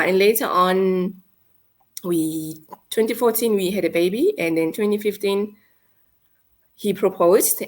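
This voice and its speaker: A woman in her thirties with a South African accent, telling a painful personal story in a halting, hesitant way. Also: medium pitch. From South Africa, North West. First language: Setswana. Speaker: guest. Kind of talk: emotional personal account.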